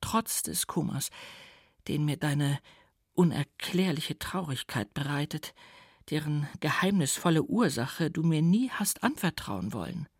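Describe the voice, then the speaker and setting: Tender, fretful older mother, played by a male reader, lifted pitch, audiobook character. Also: very animated, unhurried, breathy edge, no echo, clean studio sound, exaggerated voice. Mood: tender worry. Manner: gentle, anxious, fussing. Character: tender, worried silver-haired mother of a grown son.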